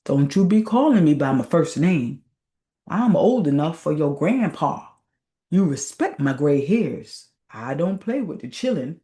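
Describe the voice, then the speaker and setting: A crotchety old grandfather from a storybook, acted out by a woman. He scolds in folksy Southern dialect, with an animated voice that jumps around in pitch and a mellow tone. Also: a little slow. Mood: angry. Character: cantankerous old grandfatherly man telling tall tales.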